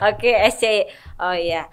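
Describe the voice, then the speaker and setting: A woman's voice: mid-range voice, big pitch swings, slow pace, fairly bright tone. A woman with an Indonesian accent hosting a podcast in an informal, chatty interviewing style. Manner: informal, conversational, reacts with short acknowledgements. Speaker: podcast host.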